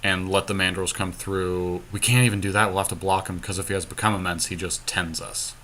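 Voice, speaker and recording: A man with a fairly low voice and a bright, forceful edge. He works through game moves out loud, counting and reasoning as he goes, in the casual style of a podcast co-host. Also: fairly even intonation, a little fast. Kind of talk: casual strategy commentary while playing a card game.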